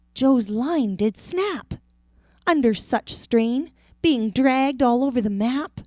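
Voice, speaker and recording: A woman reading a rhyming children's picture book aloud in a theatrical, deliberate way. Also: on the high side, a little mellow, no room sound, studio quality. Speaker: storybook reader.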